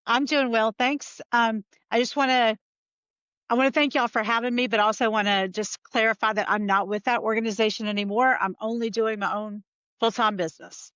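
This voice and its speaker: A woman's voice: a little high, steady tone, brisk, fairly bright tone, clear, resonant tone, no echo, muffled recording. A woman with a Southern US accent, a podcast guest chatting casually over a remote call, with lots of fillers. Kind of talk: casual interview talk.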